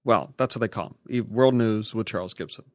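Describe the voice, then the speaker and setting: A man co-hosting a podcast in casual banter, with a deadpan joking manner. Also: lowish voice, brisk, no room sound, clean studio sound. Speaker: podcast co-host.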